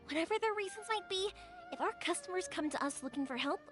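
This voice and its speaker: A woman's voice: very high voice, lively intonation, quick pace. A woman playing a kind-hearted, cheerful young fireworks maker in a video game, speaking earnestly and expressively. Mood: reassuring. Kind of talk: video game character acting. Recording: faint music behind the voice.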